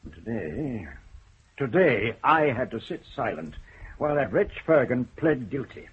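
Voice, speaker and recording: An actor playing a stern, ironic older judge in a radio drama, speaking in a measured, deliberate way. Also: male voice, medium pitch, sing-song, slightly rough, exaggerated voice. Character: stern elderly male Scottish judge, dry and ironic. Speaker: senior judge. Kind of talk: 1970s BBC radio drama acting. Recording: muffled old radio broadcast.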